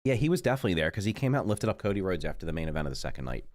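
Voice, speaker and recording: Low-voiced congested man chatting on stream. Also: very animated, racing, a little mellow, no echo, studio quality. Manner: rambling, self-correcting, mutters while busy. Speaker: livestreaming gamer. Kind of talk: casual live stream chat.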